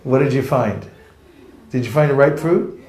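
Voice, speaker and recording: A man's voice: medium pitch, normal speed, a little mellow. A man from California teaching a book distribution seminar to a live audience, joking and acting out street encounters. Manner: playful, jokey, acts out street encounters. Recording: echoey room.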